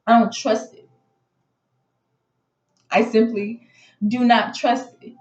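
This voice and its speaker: A woman telling a personal story casually, in an animated, indignant way, acting out dialogue as she goes. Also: on the high side, slow. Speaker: storytelling vlogger. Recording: muffled audio in echoey room.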